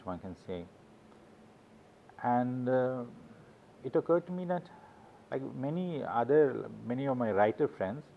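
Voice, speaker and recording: Halting author, dull recording. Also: male voice, mid-range voice, a little hiss or hum. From Sweden. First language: Swedish. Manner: rambling, anecdotal, halting restarts. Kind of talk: casual interview talk.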